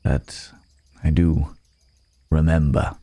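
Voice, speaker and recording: Gentle werewolf, played by a man, ASMR roleplay: very deep, slow, polite, hesitant, apologetic. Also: little pitch movement, no echo, full character voice. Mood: thoughtful, searching. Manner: hesitant, halting, polite, soft chuckles, old-fashioned wording. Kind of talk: intimate ASMR audio roleplay monologue.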